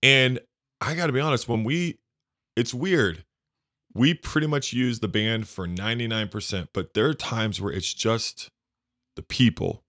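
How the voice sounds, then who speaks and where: A man hosting a conversation with a deep bass voice, effusive and emotional as he talks. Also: a little slow, a bit bright. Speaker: podcast host. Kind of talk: casual conversation.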